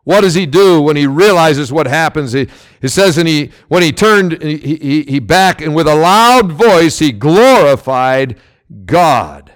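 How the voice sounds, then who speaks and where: Loud, high-pitched animated male preacher. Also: measured pace. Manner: loud, emphatic preaching with sudden swells and drops. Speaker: pastor. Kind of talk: sermon.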